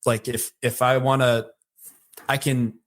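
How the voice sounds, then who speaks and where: A man's voice: medium pitch, level tone, a little slow, fairly bright tone. A middle-aged man with a distinct Michigan accent talks loosely as an interview guest. He rambles, doubling back with fillers and restarted phrases as he goes. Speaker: sales leader, podcast guest. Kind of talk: casual interview conversation.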